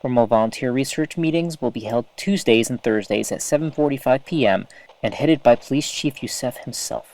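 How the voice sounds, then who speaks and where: A woman's voice: rumbling low, fairly quick, a little mellow. A woman playing an eager, awkward small-town radio host in an audio drama, chatty and hesitant. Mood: solemn reporting.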